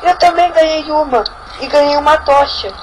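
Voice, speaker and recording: Whiny, fairly high Brazilian woman. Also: moderate pace, slight background noise, low-quality recording. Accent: Brazilian Portuguese. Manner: whiny, plaintive. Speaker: player voicing a son. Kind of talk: improvised Minecraft roleplay.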